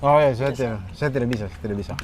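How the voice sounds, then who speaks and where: A man's voice: medium pitch, racing, a little mellow, a little hiss or hum. A man hosting a casual chat, asking curious questions and throwing in teasing jokes.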